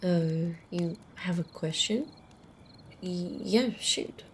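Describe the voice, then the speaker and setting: An ancient vampire woman, acted by a woman, talks in a low-pitched, mellow and often whispered voice. She takes her time, teasing and amused, with casual menace under the softness. It is an intimate ASMR roleplay delivery at a very slow, conversational pace. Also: a little hiss or hum. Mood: calm, explaining. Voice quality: soft, breathy, often whispered. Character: centuries-old female vampire, wry, teasing, casually menacing.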